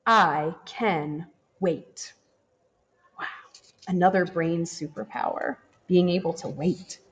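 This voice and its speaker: A woman, a teacher, reading a children's picture book aloud in a gentle, expressive manner. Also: on the low side, dull audio. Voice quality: expressive and animated, slow and gentle. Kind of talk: children's storybook read-aloud. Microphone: camera over the book, hands turning pages.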